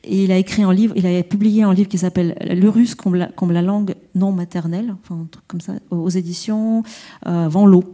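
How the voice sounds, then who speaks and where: A woman poet and translator speaking at a round-table discussion, halting and searching for her words. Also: lowish voice, fairly even intonation, dark tone.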